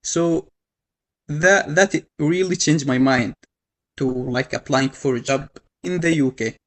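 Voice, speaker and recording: A young man from Yemen telling his own story to an audience, halting, with many fillers and restarts. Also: on the high side. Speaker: panel speaker. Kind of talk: personal story told to an audience.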